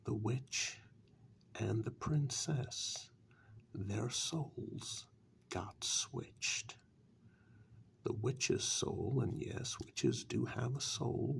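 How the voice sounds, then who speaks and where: A man playing a sly, condescending warlock who bargains coolly in a dark fairytale ASMR role-play, speaking softly and breathily with slow, dismissive deliberation. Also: mid-range voice, a little hiss or hum, exaggerated voice. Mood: hushed storytelling. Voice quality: breathy half-whisper, gravelly.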